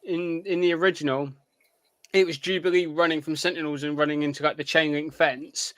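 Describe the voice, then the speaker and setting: A man co-hosting a podcast in casual review chat, speaking haltingly and repeating his phrases. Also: a little high, little pitch movement, a bit bright, no room sound. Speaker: podcast co-host.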